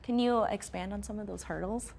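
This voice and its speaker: A woman's voice: mid-range voice, a little fast, gravelly, darkish tone. A woman asking questions in a casual interview.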